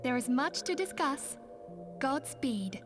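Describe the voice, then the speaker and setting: A dignified young-sounding priestess leader speaks in a high, unhurried voice. Her manner is composed and earnest, in formal fantasy game style, with prominent music behind her. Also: lively intonation. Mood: warm, hopeful. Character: gentle, dignified high priestess, brave leader of a secret order. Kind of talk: scripted fantasy game dialogue. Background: orchestral game music.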